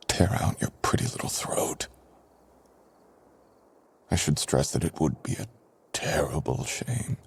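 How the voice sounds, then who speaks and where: A man playing a dangerous, vengeful ancient sorcerer in an audio roleplay, speaking in a husky, breathy voice with dramatic, threatening pauses. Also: deep voice, darkish tone, heavily acted. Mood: threatening, impatient. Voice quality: husky, breathy, often dropping to a whisper. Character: dangerous ancient male sorcerer, menacing, vengeful, freed from long imprisonment. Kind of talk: boyfriend ASMR audio roleplay.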